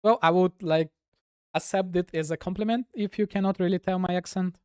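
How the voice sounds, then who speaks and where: A man's voice: sits high, a little fast, a little mellow, clean tone, no room sound, clean studio sound. A man from Russia with a Russian accent, a gaming streamer chatting casually with viewers in a rambling way, full of "like" fillers. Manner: rambling, heavy use of "like" fillers.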